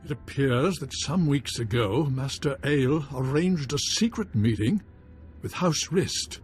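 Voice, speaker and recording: A man's voice: mid-range voice, expressive, a little slow, faint music behind, close to an everyday voice. An actor playing a pompous, cautious nobleman in a video game, formal and dismissive in manner. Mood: haughty, guarded. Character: haughty, cautious nobleman baron, dismissive husband. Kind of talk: scripted video game acting.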